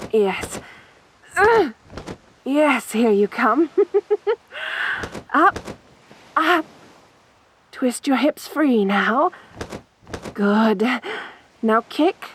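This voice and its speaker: A woman playing a possessive, teasing siren in a soft, breathy voice for an ASMR roleplay, with a seductive, toying manner. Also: on the high side, slight background noise, full character voice. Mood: straining, effortful. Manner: slow, seductive, toying, assertive. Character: beautiful sadistic siren, winged monster woman, teasing, possessive.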